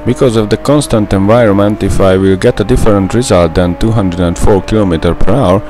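A man's voice: on the low side, steady tone, a little fast, music in the background. A young man with a Hungarian accent, a native Hungarian speaker, narrating a gameplay tutorial in a careful, methodical way. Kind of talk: scripted gameplay voice-over.

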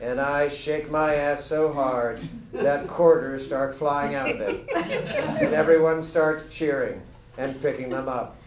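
A man's voice: a little high, big pitch swings, takes it slowly, a crowd in the background. A man giving a live comedic reading from a book at a lectern microphone. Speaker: guest reader at a book party. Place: indoor room at a live party. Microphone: microphone on a lectern stand. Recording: roomy live event, loud laughing audience, distant mic. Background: loud audience laughter.

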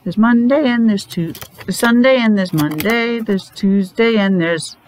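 A woman's voice: medium pitch, measured pace, a little mellow. A middle-aged woman leading a lesson for small children in simple, sing-song talk with questions, slightly muffled by a face mask, recorded in a classroom at arm's length. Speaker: preschool teacher. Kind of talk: children's morning meeting lesson, asking questions. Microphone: device at about arm's length. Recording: noisy room, device microphone.

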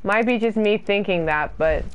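A woman's voice: mid-range voice, normal speed, smooth, rough recording. A young woman from the UK, casually chatting with her viewers while streaming a horror game and reading their comments aloud. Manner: chatty and self-deprecating, reads chat aloud and answers it. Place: small room indoors.

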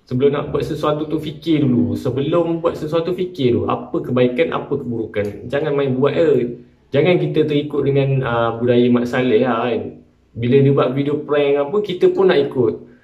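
A man's voice: mid-range voice, moderate pace, far from the mic, dull audio. A young Malaysian man gives casual commentary to camera in an informal, admonishing way. He tends to repeat his points. His voice sits a little away from the microphone in an echoey room. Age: young adult. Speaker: vlogger. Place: small bare-walled room indoors.

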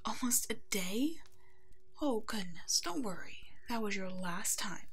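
Kind werewolf pack leader, played by a woman, mid-pitched, soft-spoken and motherly, protective and soothing, for ASMR audio roleplay. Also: lively intonation, a little slow, breathy edge. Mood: caring, reassuring. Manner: gentle, nurturing, soft-spoken, often slipping into whispers.

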